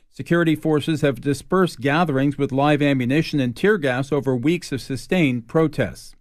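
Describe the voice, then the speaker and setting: Higher-pitched male radio newsreader. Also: no echo, studio quality. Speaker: radio news anchor. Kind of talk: scripted radio news reading.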